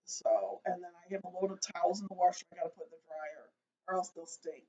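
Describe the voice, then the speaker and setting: A woman's voice: lowish voice, animated, fast talker, warm tone. A woman in her fifties co-hosting a casual chat show, rambling and joking about herself. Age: 50s. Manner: rambling, jokey, self-deprecating. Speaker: co-host. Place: seated indoors at home. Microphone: phone camera. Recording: dull lo-fi phone recording.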